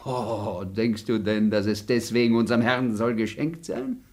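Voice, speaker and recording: A man's voice: lowish voice, animated, a little slow, a little mellow. An actor playing a crude, scheming young male robber who fawns and laughs in an old radio play. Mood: boastful. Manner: crude, sly, fawning, laughs often. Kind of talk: 1960s radio play acting.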